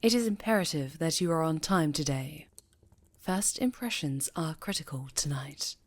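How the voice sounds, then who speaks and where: A woman's voice: deep voice, lively intonation, normal speed, dry recording. A woman playing a stern, exacting witch mentor in a fantasy ASMR roleplay, speaking formally and imperiously. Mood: scolding, exacting.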